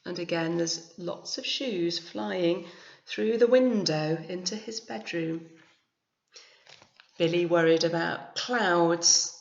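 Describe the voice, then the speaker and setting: A woman reading a picture book to young children like a teacher, expressively, with chatty asides and gentle questions to her listeners. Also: fairly low pitch, slow pace, gravelly. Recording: echoey room, slightly muffled sound.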